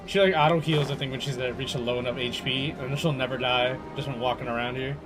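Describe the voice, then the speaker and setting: A young man commentates on his own gameplay in an informal, slangy way. His voice sits at an average pitch and he often mutters to himself. Game music is clearly audible behind him. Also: steady tone, fast, low-quality recording. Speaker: video game streamer.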